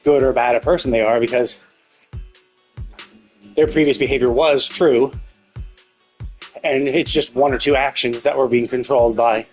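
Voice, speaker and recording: A man's voice: medium pitch, fairly even intonation, normal speed, pauses often, darkish tone, low-quality recording. A man livestreaming a game, giving casual, rambling commentary as he thinks aloud and swears freely. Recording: game music playing behind the voice. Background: video game music.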